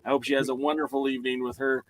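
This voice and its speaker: A man's voice: mid-range voice, level tone, rapid-fire, fairly bright tone. A man hosting a casual podcast, steering the conversation and moving it on to the next topic. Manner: steers the talk, moves topics along. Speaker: podcast host.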